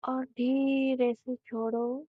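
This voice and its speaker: A woman with an Indian accent narrating a guided meditation in a soothing, unhurried manner, leaving long pauses between phrases. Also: high-pitched, little pitch movement, dry recording. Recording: thin, phone-like narrow band.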